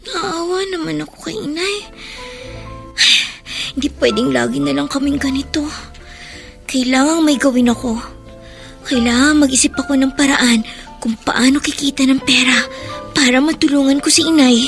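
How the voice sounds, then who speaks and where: A woman's voice: sits high, normal speed, gravelly, pauses often, soft background music. A woman playing a poor, hungry, dutiful child in Filipino radio drama, plaintively talking to herself, with a Filipino accent. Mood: resolved. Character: poor hungry schoolchild, dutiful and self-pitying.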